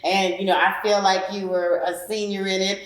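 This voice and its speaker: A woman hosting a casual talk show, loud and encouraging with her guests. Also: medium pitch, little pitch movement, moderate pace. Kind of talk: casual chat. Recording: echoey room with crowd noise.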